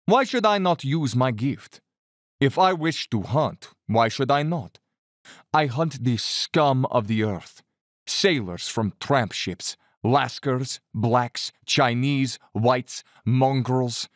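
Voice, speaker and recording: An aristocratic Cossack general in an audio drama, a hunter with no conscience. He is smooth-mannered and condescending, never hurried. His pitch sweeps theatrically in a dry, close recording. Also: animated, no room sound. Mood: haughty, philosophizing. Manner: urbane, bland, condescending, theatrically unhurried. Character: suave, cold-blooded aristocratic Cossack general, fanatical big-game hunter.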